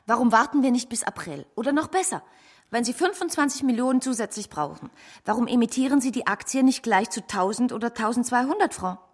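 A woman's voice: a little high, expressive, fairly quick, husky. An actress playing a sensible woman wary of speculation in a radio drama, asking pointed, practical questions. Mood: reasonable, prodding. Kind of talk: German radio drama acting.

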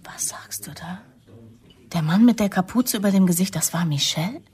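An actress playing a defiant, sarcastic young woman in a radio drama, with a husky voice and a challenging, emotional manner. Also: lowish voice, normal speed, darkish tone. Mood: bewildered, hurt.

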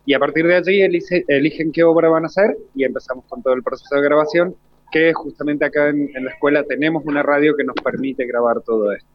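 A man's voice: a little high, fairly even intonation, fast talker. A male teacher with an Argentine accent, explaining things articulately in an interview. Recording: dull, dry radio sound.

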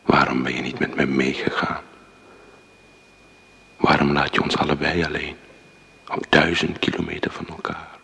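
A brooding, reproachful lover in a radio play. His voice is extremely low and husky, with little movement in pitch, in measured phrases. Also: steady tone, pushed and bright, heavily acted. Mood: bitter, pleading. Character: brooding, reproachful man left behind by his beloved. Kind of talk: Dutch radio drama acting.

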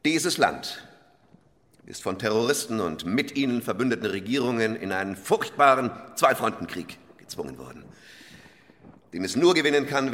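Forceful, pompous government minister in an echoing hall. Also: male voice, medium pitch. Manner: oratorical, condescending, deliberate emphasis. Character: stern, pompous older government minister, lecturing and menacing. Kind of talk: German radio drama acting. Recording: long hall reverb.

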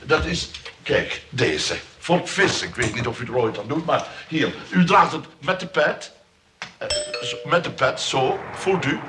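A fussy male shop salesman in a comic radio play, his pitch swinging animatedly. He stammers, repeats himself and stays anxiously polite, over clear background noise. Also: animated, a little slow. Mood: flustered. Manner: stammering, repetitive, overly polite patter. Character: fussy, flustered umbrella shop salesman, eager but clumsy. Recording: noisy old radio play recording.

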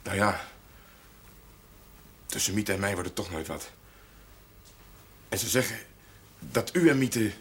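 An actor playing an earnest, awkward young farmhand in a television drama, speaking in a hesitant, apologetic manner. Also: male voice, fairly low pitch, expressive, unhurried, long pauses. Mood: hesitant, uneasy. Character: earnest, awkward young farmhand asking for his job back. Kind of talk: naturalistic television drama dialogue.

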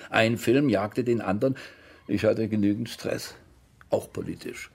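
An actor in a radio drama playing a defensive, self-pitying older man, evasive and dismissive, with a husky, gravelly voice. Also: fairly low pitch, measured pace, a little mellow, full character voice. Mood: defensive, reflective. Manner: evasive, dismissive, matter-of-fact. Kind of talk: German radio crime drama acting, interview monologue.

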